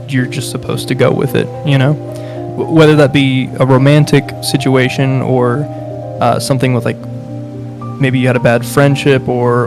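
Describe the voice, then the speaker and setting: A young man with a Midwestern accent, talking in a casual, reflective way with lots of fillers and restarts. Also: medium pitch. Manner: hesitant, many fillers and restarts, laughs often. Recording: music playing behind.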